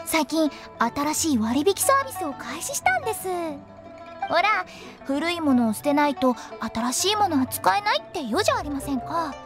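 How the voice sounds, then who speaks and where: A playful teenage girl funeral director from an anime game. Her voice sits extremely high and bounces around in lively swings. She is teasing and theatrical, sweet as a sales pitch, with loud game music underneath. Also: normal speed. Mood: chirpy, persuasive. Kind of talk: Japanese anime game voice acting.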